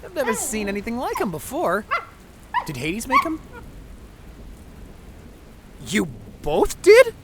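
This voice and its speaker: A woman's voice: a little high, wildly swooping pitch, takes it slowly, pauses often, background noise, full character voice. A woman voicing a cheeky, chatty young winged messenger god in a fantasy audio drama, breezy and sarcastic. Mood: playful, curious.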